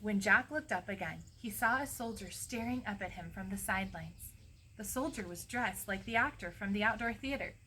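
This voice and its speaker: A middle-aged woman reading a storybook expressively to children, pausing to explain things in a teacherly way. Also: medium pitch, bright, forceful tone, a little hiss or hum, low-quality recording. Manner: teacherly, explains and prompts listeners. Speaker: read-aloud storyteller for children. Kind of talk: expressive storybook reading with asides to young listeners.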